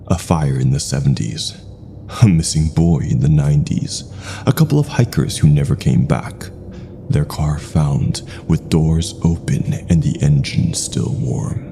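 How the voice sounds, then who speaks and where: A man with a gravelly voice reading a scripted first-person horror story in a measured, brooding manner. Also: rumbling low, dark tone, a little hiss or hum.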